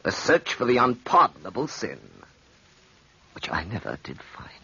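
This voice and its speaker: A man's voice: medium pitch, very animated, moderate pace, bright, forceful tone, husky, exaggerated voice. An actor playing a cold, mocking, doomed man in theatrical 1940s radio style, on a dull old recording. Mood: coldly detached. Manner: theatrical, sardonic.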